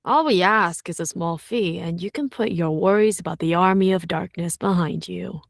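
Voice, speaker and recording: Smug female knight commander played by a woman, slow taunting drawl, dry close recording. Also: on the low side, gravelly, no room sound. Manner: smirking, drawn-out taunting delivery.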